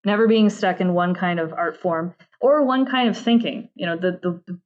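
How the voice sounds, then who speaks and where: Lower-voiced woman chatting, slightly dull audio. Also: moderate pace. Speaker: interview guest, game narrative director. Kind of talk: casual chat.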